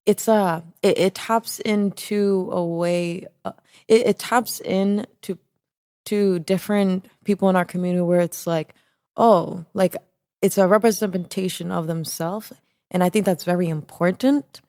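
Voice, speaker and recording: A young woman speaking as an interview guest about her business, animated and casual, often restarting her phrases. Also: fairly low pitch, measured pace, no room sound, studio quality. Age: young adult.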